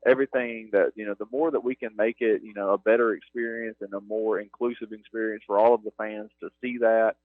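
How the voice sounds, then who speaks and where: A man's voice: fairly low pitch, fairly even intonation, fairly quick, few pauses. A man who runs game operations at a stadium, a guest on a halftime radio interview, talking earnestly and rambling with many "you know"s. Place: press box broadcast booth at a stadium. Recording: narrow phone-like radio broadcast, dry.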